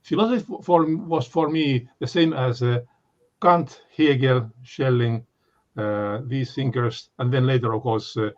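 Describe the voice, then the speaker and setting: Halting Finnish-accented older man lecturing. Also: medium pitch, darkish tone. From Finland. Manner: halting, many fillers and restarts. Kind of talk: unscripted academic talk. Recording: dull lo-fi recording.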